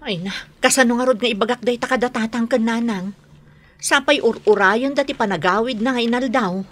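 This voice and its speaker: A woman's voice: on the high side, brisk, lightly acted. A woman playing a grown daughter fretting over family trouble in a radio drama, with an Ilocano accent and a sighing, complaining manner. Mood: troubled.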